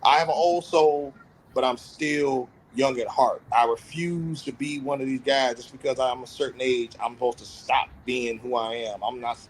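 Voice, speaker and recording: A man's voice: mid-range voice, fairly even intonation, moderate pace, slight background noise, rough recording. Earnest, reflective man in his thirties. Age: 30s. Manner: earnest, self-reflective, opens up about feelings. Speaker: podcast panelist. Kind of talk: candid casual podcast conversation.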